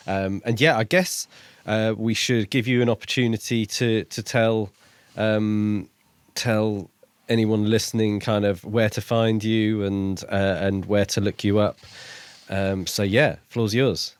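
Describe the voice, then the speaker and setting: Low-voiced man hosting a podcast, dry sound. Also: fairly even intonation, measured pace, clean tone, dry recording. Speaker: podcast host. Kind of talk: casual podcast interview chat.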